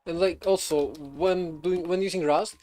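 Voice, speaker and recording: Young guy streaming code, kinda high voice, lots of ums and restarts, faint music behind. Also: steady tone, unhurried, dark tone. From Belarus. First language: Russian. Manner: rambling, many fillers and restarts, laughs often. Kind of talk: casual live coding stream chat.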